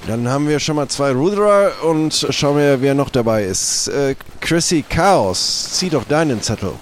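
A man's voice: a little high, animated, moderate pace. A man leading an improvised audio-play scene with casual, dry banter. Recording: faint background noise.

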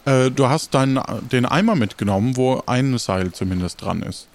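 A man describing scenes and outcomes in an improvised roleplay, halting and matter-of-fact. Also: mid-range voice, animated. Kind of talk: improvised tabletop roleplay talk.

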